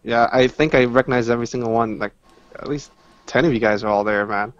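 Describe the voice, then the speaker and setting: A man's voice: medium pitch, steady tone, fast talker, no room sound. A man chiming in casually as a podcast guest, repeating his phrases, on a dull connection. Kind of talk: casual chat.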